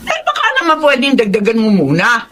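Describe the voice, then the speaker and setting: A woman's voice: a little high, huge pitch swings, fairly quick, a bit bright, a little hiss or hum. A woman playing an indulgent but demanding middle-aged Filipino mother in a radio drama. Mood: coaxing. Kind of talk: Tagalog radio drama acting.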